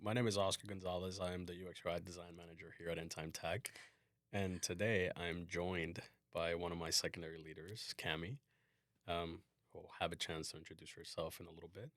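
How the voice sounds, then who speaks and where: Man, very low voice, casual podcast host, relaxed explainer, dry close mic. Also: a little fast, no room sound, faint music behind. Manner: relaxed, explanatory, frequent "um" and "you know". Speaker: podcast host, UX design manager.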